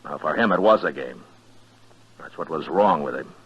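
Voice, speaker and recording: A dull vintage radio recording carries a stern, weary American frontier marshal. His voice is very low and husky. He speaks bluntly and deliberately, with a hard edge, in old radio western style. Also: animated, full character voice. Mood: exasperated. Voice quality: husky, deep. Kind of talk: 1950s radio western acting.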